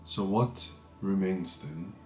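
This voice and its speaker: A man guiding a meditation as a teacher, calm and measured, speaking in short phrases with pauses between them. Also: low-pitched, reverberant, soft background music. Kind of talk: guided meditation, unscripted spiritual talk.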